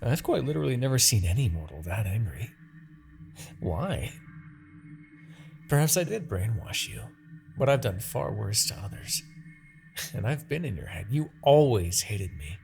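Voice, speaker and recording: A man's voice: fairly low pitch, big pitch swings, measured pace, vocal fry, pauses often, a little mellow, soft background music, heavily acted. A man playing an imperious, obsessive demon king who is easily exasperated, in a theatrical, brooding fantasy audio roleplay. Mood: wary, reasoning. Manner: theatrical, brooding, swinging between murmurs and outbursts.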